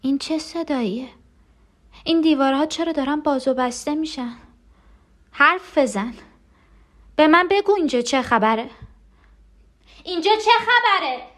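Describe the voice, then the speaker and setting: An actress playing a frightened, tender and clinging twin sister in an emotional audio drama monologue. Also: female voice, high-pitched, lightly acted. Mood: panicked, desperate. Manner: intimate, emotional, pleading.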